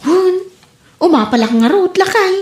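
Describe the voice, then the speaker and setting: Bossy village wife for comic radio drama, female actor: high voice, Ilocano accent, lively swoops, echoing room. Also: normal speed. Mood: bossy cheer. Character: bossy village wife ordering her husband about.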